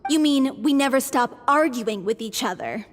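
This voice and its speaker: A woman's voice: high-pitched, expressive, moderate pace. A woman voicing a frail young actress who is ill, with a tired and wry way of talking. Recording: game dialogue over loud music.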